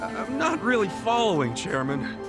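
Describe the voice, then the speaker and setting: Game lead: blunt, excitable underdog, very lively intonation, slangy talk, heavy music behind. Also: male voice, sits high, normal speed. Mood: incredulous. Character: brash, blunt, hot-blooded male underdog hero. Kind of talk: expressive video game voice acting.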